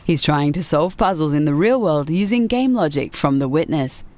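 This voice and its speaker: A woman's voice: sits low, lively intonation, normal speed, background noise. A woman reading scripted narration for a video list show about games.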